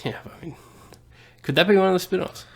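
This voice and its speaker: A man talks in a loose, animated way as he co-hosts a podcast. He rambles from thought to thought and swears casually. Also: high-pitched, quick pace, vocal fry, slightly rough. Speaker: podcast co-host. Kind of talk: casual chat.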